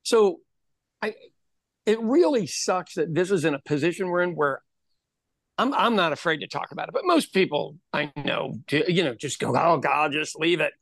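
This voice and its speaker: Animated middle-aged man telling stories, dry sound. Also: a little high, normal speed, dry recording. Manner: animated storyteller, acts out quoted voices. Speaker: podcast host. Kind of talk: casual conversation.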